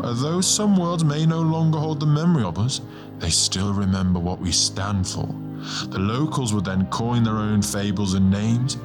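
A man voicing a serene, ancient male star guardian, a measured and lightly amused mentor in an audiobook. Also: mid-range voice, natural voice. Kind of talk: audiobook character dialogue. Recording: music bed under the voice.

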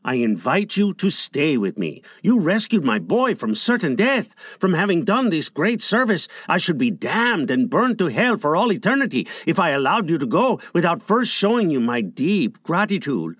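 A man's voice: a little high, big pitch swings, moderate pace, hardly any pauses, fairly bright tone, dry recording, clean studio sound, pitched above the performer's own voice. A man voicing a grateful village father who talks in elaborate, flowery speeches. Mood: effusive.

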